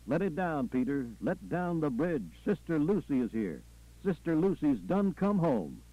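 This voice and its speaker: Fervent preacher, muffled recording. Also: male voice, on the high side, very animated, measured pace, dark tone, voice pushed up. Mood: exultant. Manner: folksy, rhythmic repetition with punctuating "aha" and "ah, well". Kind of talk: call-and-response funeral sermon.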